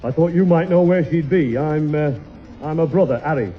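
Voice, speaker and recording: An actor playing a rough-and-ready male labourer in a 1960s radio sitcom, blunt and over-familiar, with a Northern English accent. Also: a little high, normal speed, faint music behind, close to an everyday voice. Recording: muffled 1960s radio broadcast.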